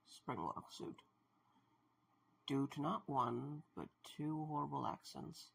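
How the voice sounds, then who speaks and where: A man's voice: medium pitch, gravelly, slight background noise, rough recording. A man playing a weary, wary investigator in an audio drama, talking to himself.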